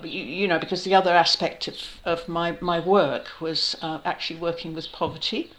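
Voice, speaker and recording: A woman's voice: lowish voice, fairly even intonation, fairly quick, a bit bright, low-quality recording. An older woman from New Zealand, a novelist being interviewed, talking in a rambling, self-deprecating way and laughing often. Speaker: author guest. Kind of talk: relaxed conversational interview.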